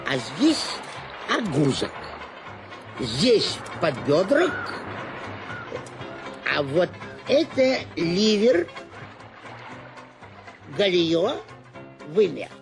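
An actor playing a boastful, bluffing tiger tamer in a radio play, pompous and halting as he lectures. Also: high-pitched, big pitch swings, music in the background, heavily acted. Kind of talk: Russian radio play acting.

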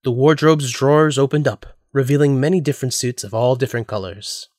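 A man's voice: medium pitch, a little fast, darkish tone, dry recording, studio quality. A man reading scripted story narration as the narrator of an audio drama.

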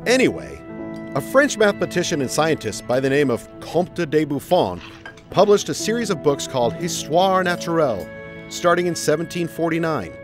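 A man's voice: a little high, normal speed, over music. A middle-aged man acting an upbeat father who earnestly explains things in a family audio drama. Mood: earnest, explanatory. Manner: explanatory, lively.

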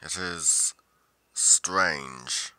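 A man playing a brooding, solitary monster investigator in a roleplay monologue, musing deliberately with pauses mid-sentence. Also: a bass voice, sharp tone, clean tone, dry recording, exaggerated voice. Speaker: roleplay performer.

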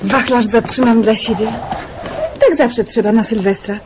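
A woman's voice: fairly high pitch, steady tone, moderate pace, very noisy, close to an everyday voice. An actress playing a playful, enthusiastic young woman in a radio drama.

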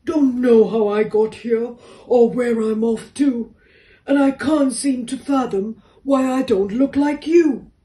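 An earnest, lonely talking door, as a woman storyteller plays him, pleading softly and only slightly raised from her usual voice. He sounds hopeful. It is recorded from a distance in an echoey room. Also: fairly high pitch, slow.

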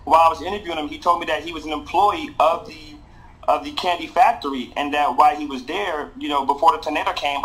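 A man's voice: on the high side, a bit bright, rough recording. An American man in his thirties giving a conversational live news report over a video call. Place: small indoor room.

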